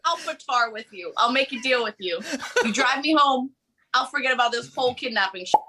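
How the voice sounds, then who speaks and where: A woman playing a brash, boastful, streetwise male carjacker in a comic table read, loud, swaggering and profane. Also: a little high, animated, brisk, slight background noise. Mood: indignant.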